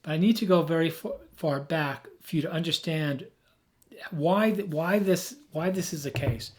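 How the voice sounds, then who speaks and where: A man who has lost his voice, hoarse, giving an emphatic, rambling off-the-cuff tech explanation as a video host. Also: on the high side, normal speed, slight background noise. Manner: emphatic, rambling, with frequent restarts.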